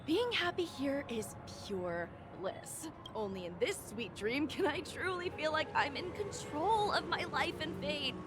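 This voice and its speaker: A woman playing a pleasure-loving, polite resort guest in a video game, with a gushing, expressive delivery. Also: sits high, measured pace, hardly any pauses, background noise. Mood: defensive, wistful. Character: pleasure-loving, well-mannered female dream resort guest. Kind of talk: scripted game voice acting.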